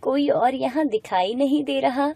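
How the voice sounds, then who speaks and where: Woman playing a gentle, mellow old woman. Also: high-pitched, a little slow, no echo, pitched above the performer's own voice. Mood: gentle, reassuring. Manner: gentle, motherly, reassuring. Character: kindly, hungry old woman blessing a girl. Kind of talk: animated moral story dialogue.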